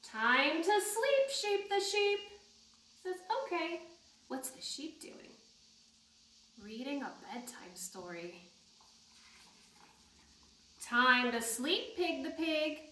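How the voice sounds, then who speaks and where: A woman's voice: high voice, sing-song, takes it slowly, pauses often, pushed and bright, a little hiss or hum. A woman leading a storytime for young children, reading aloud and talking in a sing-song way with simple prompts. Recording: echoey room, distant microphone.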